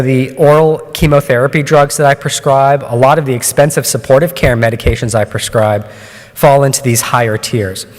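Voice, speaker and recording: A man, an oncologist, gives a conference talk in a measured, explanatory way, often pausing on ums and restarting phrases. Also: medium pitch. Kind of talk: conference presentation. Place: lecture hall. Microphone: microphone at a lectern. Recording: long hall reverb.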